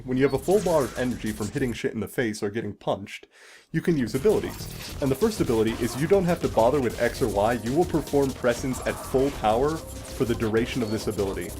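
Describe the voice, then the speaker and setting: A man's voice: medium pitch, expressive, fast talker, dark tone, soft background music. A man giving casual, explanatory game commentary as a let's play host, swearing casually now and then.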